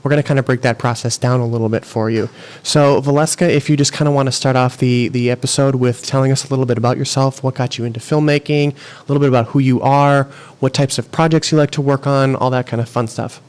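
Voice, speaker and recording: Smooth-voiced male podcast host. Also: mid-range voice, steady tone, fast, darkish tone. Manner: loose, filler-heavy introductions with restarts. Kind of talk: interview hosting.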